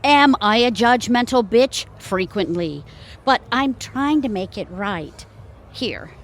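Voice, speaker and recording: A woman's voice: on the high side, expressive, slow pace, a little hiss or hum. A woman playing a sarcastic, self-deprecating middle-aged mother in an audio theatre comedy-drama. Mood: contrite.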